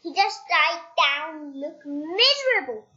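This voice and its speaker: A child reading a children's bedtime story aloud, haltingly, with stumbles and restarts. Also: sits high, very animated, bright, forceful tone. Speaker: storybook reader. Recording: dull lo-fi home recording.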